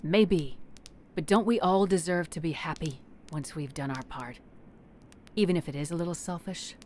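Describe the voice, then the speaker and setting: A woman's voice: deep voice, normal speed, darkish tone, a little hiss or hum, lightly acted. A woman playing a brave, loving adventurer and wife in a fantasy audio drama. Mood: reflective.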